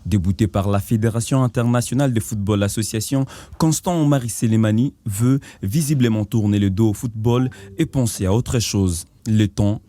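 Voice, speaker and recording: A man reading a sports news report in an even, formal tone. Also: fairly low pitch, normal speed, no echo.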